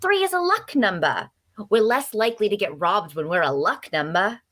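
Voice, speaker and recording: A woman's voice: mid-range voice, sing-song, normal speed, fairly bright tone. A woman playing a chatty, nosy and cheerful traveler in a fantasy audio drama, with a teasing, folksy manner. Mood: teasing, pleading. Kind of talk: dark fantasy audio drama table read.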